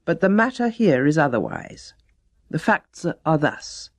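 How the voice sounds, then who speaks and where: A woman reading teaching stories as scripted narration, measured and deliberate. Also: low voice, huge pitch swings, no room sound. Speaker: storyteller reading teaching tales.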